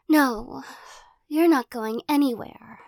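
A young woman voicing a possessive, teasing girl who corners the listener in an audio roleplay, giggling and coaxing, often dropping into soft whispers. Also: high voice, creaky, dry recording, studio quality, exaggerated voice.

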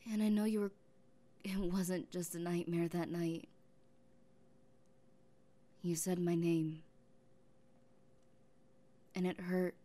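Woman playing a soft-spoken obsessive captor. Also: lowish voice, flat delivery. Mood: tender. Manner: soft-spoken, halting, drifting into whispers. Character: obsessive lovesick young woman captor, tender and possessive. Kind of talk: audio roleplay.

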